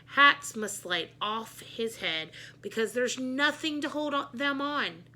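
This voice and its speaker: A woman's voice: a little high, lively intonation, slow, bright, forceful tone, heavily acted. A woman reading a children's book as a wisecracking schoolboy who hates school. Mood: mocking. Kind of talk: children's book read-aloud.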